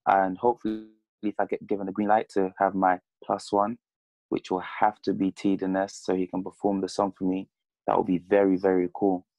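A man's voice: low-pitched, monotone, brisk, a little mellow, no echo, lo-fi sound. A man in his twenties from Congo and the UK, a French speaker, chatting casually in an interview over a video call. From Congo, Zambia and the UK. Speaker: boxer, interview guest. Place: indoors, plain room at home. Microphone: earphones on a video call.

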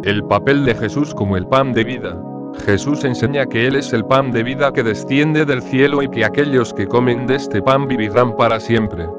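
A man's voice: medium pitch, normal speed, muffled recording, music in the background. Man reading a devotional reflection. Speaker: gospel reflection narrator. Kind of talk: scripted devotional narration.

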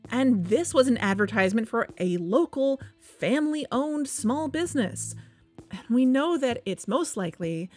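A woman's voice: a little high, darkish tone, slightly rough. A woman acting as an earnest, insistent podcast host in a conversational audio drama.

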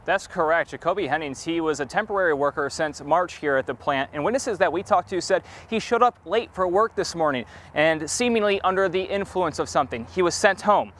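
A man reporting live for TV news outside a factory, in a measured, formal delivery. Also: fairly high pitch, fairly bright tone, a little hiss or hum. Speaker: TV field reporter. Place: outdoors at a factory.

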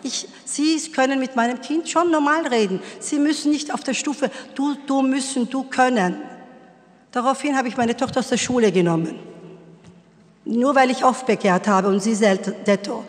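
A middle-aged woman telling a story at a panel discussion, animated and forceful, speaking into a table microphone. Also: high-pitched, moderate pace. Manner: animated, gestures a lot, grows forceful. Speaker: panel guest. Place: wood-panelled hall, seated at panel table. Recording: long hall reverb.

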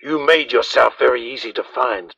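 An actor playing a cold, confident bounty hunter in a raspy voice, speaking in terse, threatening lines. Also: male voice, medium pitch, moderate pace, heavy background noise, lightly acted. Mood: cold menace. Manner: terse, threatening one-liners. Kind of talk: film and game villain acting. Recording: muffled soundboard clips over phone.

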